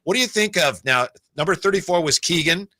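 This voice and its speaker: Male sports radio interviewer, very bright cutting voice, dry close sound, conversational. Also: sits high, lively intonation, fairly quick, no echo. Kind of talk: casual sports talk interview.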